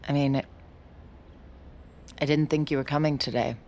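A woman's voice: low-pitched, fairly even intonation, normal speed, darkish tone, slight background noise. An actress playing a guarded, forgiving young woman with a secret gift, in understated audio drama dialogue and confiding inner monologue. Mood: guarded, resigned.

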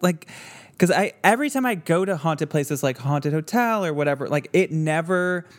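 Man hosting, very animated delivery. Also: fairly high pitch. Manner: informal, swears mildly, reacts with exclamations. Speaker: podcast host. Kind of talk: casual phone-in chat.

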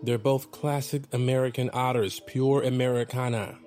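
An actor playing a goofy, eager young male delinquent in a comic audio drama, excitable and bantering. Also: mid-range voice, normal speed, faint music behind, lightly acted. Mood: playful banter. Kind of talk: comic audio fiction acting.

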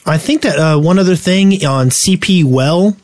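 Guy explaining game stuff on a podcast, kinda halting, dry sound no room. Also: fairly high pitch, darkish tone, dry recording. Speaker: podcast co-host. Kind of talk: casual explanatory gaming talk.

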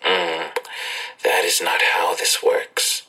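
A man playing a cagey, menacing internet ghost in an audio drama, terse and probing, with a low gravelly voice. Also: monotone, slow pace, bright, forceful tone, heavily acted, low-quality recording. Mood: coldly dismissive.

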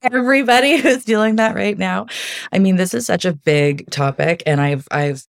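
A woman's voice: mid-range voice, moderate pace, vocal fry, no echo, studio quality. A woman, a podcast guest, chatting casually and earnestly about coping, laughing often. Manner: warm, earnest advice-giving with frequent laughs. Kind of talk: casual interview chat.

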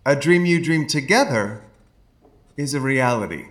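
A man playing an awkward, earnest composer who gives a sentimental speech in a hesitant, halting, apologetic way. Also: medium pitch, animated, fairly bright tone. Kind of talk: nervous speech at a microphone.

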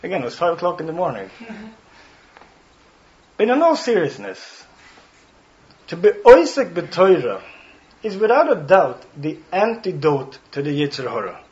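A man with a Swedish accent gives a Torah lecture in a fairly high voice. He is earnest and careful, breaking down each phrase and clearing his throat now and then. The recording sounds dull and muffled. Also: sing-song, unhurried, long pauses, a little mellow. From Sweden. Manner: earnest, explains Talmud phrases one by one, with dry jokes and frequent throat-clearing. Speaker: rabbi, guest speaker. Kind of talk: Torah talk to a study hall.

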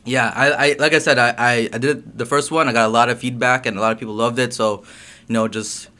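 A young man from Guyana, a guest talking about his business in a relaxed, casual way, full of "um" and "you know". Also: mid-range voice, fast talker. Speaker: guest, hot sauce business owner. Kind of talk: casual interview chat.

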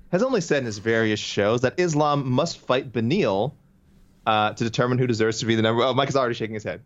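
A man co-hosting a sports podcast in casual chat, excitable, reading listener messages aloud. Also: mid-range voice, muffled recording. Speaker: podcast co-host.

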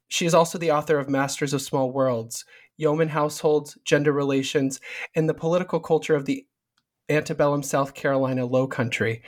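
A man hosting a book podcast, reading a formal introduction and asking interview questions. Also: mid-range voice. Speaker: podcast host.

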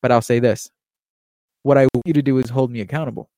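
A young man from Miami, Florida, talking earnestly and quickly in a casual monologue, with plenty of fillers and restarts. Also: mid-range voice, fairly even intonation, dark tone, no room sound, poor audio. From Miami, Florida, USA. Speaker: podcast host and baseball writer.

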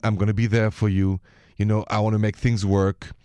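A man's voice: on the low side, level tone, a little fast, mellow, clean tone, no echo. A man from Paris with a French accent, hosting a call-in radio show and giving relationship advice in a conversational, direct way. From Paris, France.

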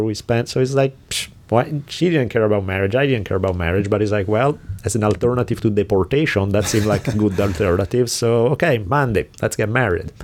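A man's voice: lowish voice, a little mellow. A man with an Italian accent hosting a podcast, telling a story in a casual, laughing way. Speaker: podcast host.

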